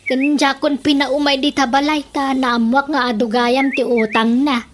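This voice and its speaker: Casting: actress as argumentative Ilocano mother for radio comedy, high-pitched scold, clearly noisy recording. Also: level tone, normal speed, lightly acted. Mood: grumbling. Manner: nagging, exclamatory, argumentative. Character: Mirna, scolding, quarrelsome village mother in a comedy radio drama.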